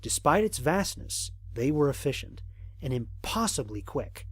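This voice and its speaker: A man playing a curious, methodical space explorer in an audio drama, narrating his mission log in a measured, formal way. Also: on the high side, sing-song, breathy edge, no room sound, full character voice. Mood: observant, fascinated.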